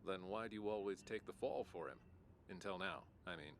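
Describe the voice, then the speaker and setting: A stern male police detective in an interactive audio drama. His voice is very deep, and he questions in a deliberate, skeptical, sardonic way. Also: a little hiss or hum. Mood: probing.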